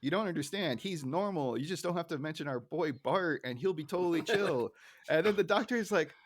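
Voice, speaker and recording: A man's voice: on the high side, expressive, brisk. Jokey man recapping TV on a podcast. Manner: jokey, laughs often while retelling. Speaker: podcast host. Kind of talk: casual TV episode recap with acted quotes.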